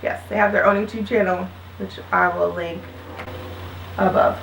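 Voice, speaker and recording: A woman's voice: mid-range voice, steady tone, normal speed, creaky, fairly bright tone, a little hiss or hum. A woman in her thirties hosting a casual family chat show in an upbeat, chatty way. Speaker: host. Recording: roomy sound from a distant mic.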